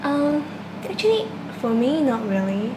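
A woman's voice: high-pitched, sing-song, measured pace. A young woman from China, a university student, answering interview questions casually, with many restarts and small laughs. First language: Cantonese. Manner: hesitant, repeats words, laughs often. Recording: echoey room with background noise.

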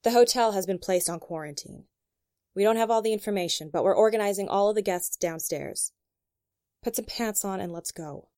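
A woman's voice: mid-range voice, brisk, darkish tone, dry recording, clean studio sound, natural voice. An actress playing a tough, short-tempered female FBI agent in an audio drama, brusque and authoritative. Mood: stern.

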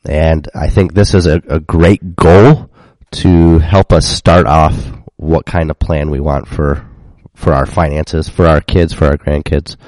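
A man with a very low, dark voice, stuffed up and a bit congested from a cold. He talks in an easy, conversational way with fillers, and the sound is dry and close. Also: steady tone, unhurried, no echo, rough recording. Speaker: podcast co-host. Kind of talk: casual chat.

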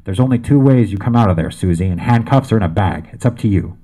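A man's voice: on the low side, expressive, fast talker, warm tone. A man reading the lines of a stern police officer giving orders over a bullhorn. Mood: commanding. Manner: commanding, barking. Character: male cop on a bullhorn, stern.

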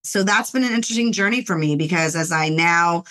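A middle-aged woman from Los Angeles, a corporate lawyer and author, speaking as a podcast guest in a measured, reflective way with frequent "you know"s. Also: fairly low pitch, fairly bright tone. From Los Angeles, United States. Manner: measured, thoughtful, often says "you know". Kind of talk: reflective interview talk.

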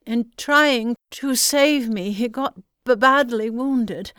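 A woman's voice: on the high side, fairly bright tone, smooth, no room sound, studio quality, voice pushed up. A woman voicing a shaken, frightened father who stammers and halts. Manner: stammering, halting.

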